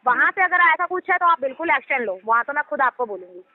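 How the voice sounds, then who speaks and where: Fast, high-voiced woman on a phone line. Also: animated. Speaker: debt recovery agent on a loan settlement call. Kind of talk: business phone conversation. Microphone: telephone line. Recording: narrowband phone call recording.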